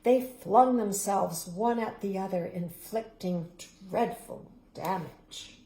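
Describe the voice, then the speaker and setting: A middle-aged woman reading a picture book aloud, dramatic in the loud parts and hushed to a whisper in the quiet ones. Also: medium pitch, slow, slight background noise. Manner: expressive, dramatic rises and hushed, whispered endings. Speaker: storybook reader. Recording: roomy echo.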